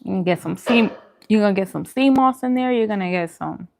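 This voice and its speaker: A woman's voice: medium pitch, brisk, creaky, a little hiss or hum. A woman chatting informally with her live-stream viewers, answering comments as she goes. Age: adult, parent. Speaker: food vlogger and live streamer.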